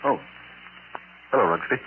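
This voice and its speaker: An actor playing a skeptical, curt newspaper editor in a 1940s radio mystery, with a muffled, noisy vintage sound. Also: male voice, normal speed, natural voice. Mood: curt, dismissive. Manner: curt, indignant. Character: skeptical, irritable male newspaper editor under threat.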